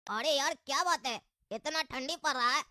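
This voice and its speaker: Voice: high-pitched, normal speed, raised voice, very noisy, close to an everyday voice. A performer voicing a cheeky, mocking male troublemaker in a Hindi comedy cartoon, teasing and scolding in exasperation. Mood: grumbling.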